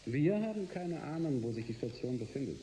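An actor playing a worried, self-justifying corporate manager in a science fiction radio drama. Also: male voice, mid-range voice, big pitch swings, a little fast, warm tone, close to an everyday voice. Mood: defensive. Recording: roomy echo, faint noise.

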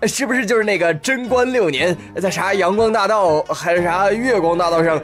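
An actor playing a fussy, chatty show-off adviser in a comic radio play, excitable. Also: male voice, high-pitched, fairly quick, faint music behind. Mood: curious, fussy. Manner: excitable, stammering patter with exclamations.

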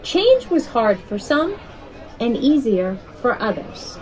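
A woman narrating a children's picture book in a deliberate, expressive storytelling manner. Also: fairly high pitch, a faint crowd, low-quality recording. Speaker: picture-book author reading aloud.

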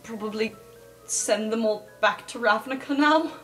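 A woman's voice: a little high, unhurried, a bit bright. A woman improvising in-character dialogue as a player in a tabletop game. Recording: music bed under the voice.

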